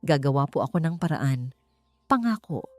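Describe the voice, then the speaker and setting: A woman's voice: deep voice, animated, moderate pace, darkish tone, soft background music. A woman voicing an anxious, devoted young niece who speaks humbly and tenderly in an audiobook. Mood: tender, reassuring.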